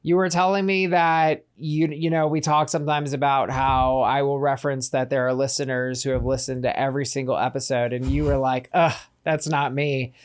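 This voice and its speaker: A man's voice: mid-range voice, level tone, moderate pace, hardly any pauses, smooth. A man hosting a call-in podcast, joking with his guest and laughing easily, then reading a post aloud. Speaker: podcast host.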